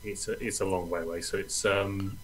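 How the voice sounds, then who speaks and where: A man's voice: deep voice, fairly even intonation, a little fast, a little hiss or hum. A middle-aged man, answering interview questions over a video call in a friendly, chatty way. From Wales. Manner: friendly, chatty, uses "um" often. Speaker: guest, treasure searcher.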